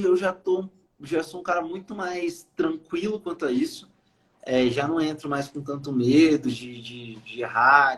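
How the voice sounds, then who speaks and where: A man's voice: fairly high pitch, fairly bright tone, poor audio. A young Brazilian man from Brazil, a podcast guest speaking casually with many fillers and hesitations. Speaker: podcast guest, iOS developer. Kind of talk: casual interview chat.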